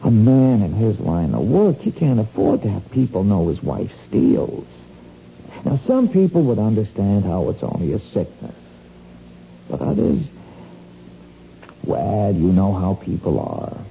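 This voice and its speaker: An actor playing a sly small-time crook in a radio drama, wheedling and insinuating in folksy, slangy talk. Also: male voice, on the low side, sing-song, measured pace, gravelly, dark tone, full character voice. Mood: coaxing, sly. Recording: dull, noisy lo-fi radio recording.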